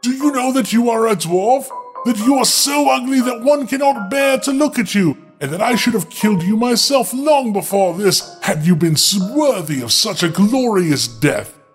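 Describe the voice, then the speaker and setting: A man acts out a proud fairy-tale king. The voice is high and haughty, declaiming with indignant scorn. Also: expressive, normal speed, faint music behind. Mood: indignant, scornful.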